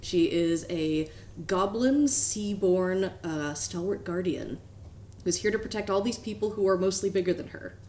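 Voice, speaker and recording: A woman's voice: lowish voice, measured pace, warm tone, a little hiss or hum. A woman introducing herself shyly as a player on a tabletop game stream. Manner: shy, self-deprecating, giggly.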